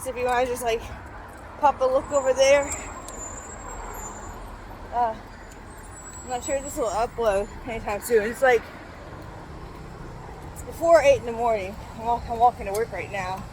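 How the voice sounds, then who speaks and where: A woman's voice: on the high side, normal speed, pauses often, darkish tone, a little hiss or hum, rough recording. A young woman with a childlike, slightly nasal voice, out of breath as she walks to work outdoors and chats rambling into her phone for a vlog, with many fillers and restarts. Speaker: vlogger. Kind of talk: casual vlog update while walking. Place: outdoors, walking through a town to work.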